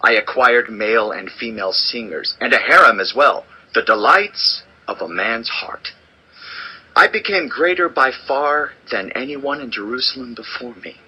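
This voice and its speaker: A man's voice: mid-range voice, lively intonation, sharp tone, breathy edge, heavily acted, low-quality recording. An actor playing a world-weary, wise old king in a solemn, theatrical dramatized scripture reading. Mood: reflective, grand. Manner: solemn, deliberate, theatrical delivery. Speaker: audio Bible narrator and actor.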